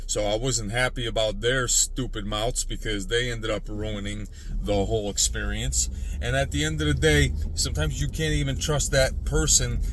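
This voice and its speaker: A man in his mid forties giving an off-the-cuff rant as a gaming YouTuber, emphatic and repetitive, with halting restarts. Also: mid-range voice, steady tone, rough recording. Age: mid 40s. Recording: loud vehicle and outdoor ambient noise.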